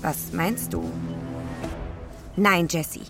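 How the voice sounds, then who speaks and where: A woman's voice: lowish voice, drawn-out words, music in the background. An actress playing a worried woman astronaut scientist in an audio drama, voicing anxious, protective objections. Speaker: scientist crew member.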